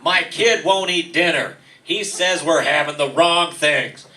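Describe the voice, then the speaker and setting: A man playing an angry, indignant father and neighbor in a gruff, confrontational way in a radio comedy play. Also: fairly high pitch, a little slow, sharp tone. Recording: echoey room, distant mic.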